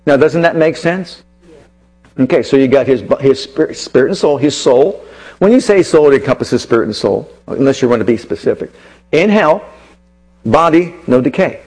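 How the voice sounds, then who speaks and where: A man preaching a teaching sermon, emphatic and full of rhetorical questions, with sudden shifts between slow and rapid delivery. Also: on the high side, a little mellow, husky.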